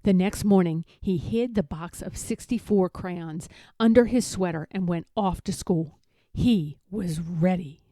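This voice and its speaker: A woman narrating a children's storybook aloud in a measured, expressive manner. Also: lowish voice, no echo. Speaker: storybook reader.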